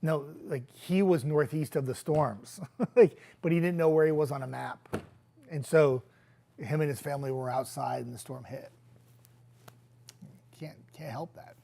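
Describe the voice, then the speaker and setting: Young male weatherman, hoarse from a coming cold, relaxed live-chat host with a higher voice. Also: measured pace, long pauses. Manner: chatty, easygoing, trailing off into quiet asides. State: losing his voice, getting sick. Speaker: TV meteorologist hosting a viewer chat. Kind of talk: casual live-stream chat reading viewer comments.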